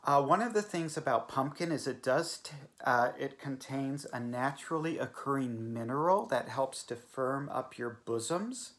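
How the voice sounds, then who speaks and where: A man in drag hosting a spoof cooking show in a deadpan, mock-sincere gushing manner, seated at a table in a small room. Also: medium pitch. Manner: mock-sincere, gushing over cheap goods. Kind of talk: deadpan parody cooking demo.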